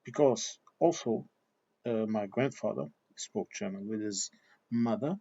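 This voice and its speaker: A man from southern Portugal with a Portuguese accent, talking casually in English as a second language in a halting, digressive monologue, often checking in with a questioning okay. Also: lowish voice, creaky, mellow, breathy edge, no echo. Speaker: vlogger giving personal commentary. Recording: dull lo-fi sound.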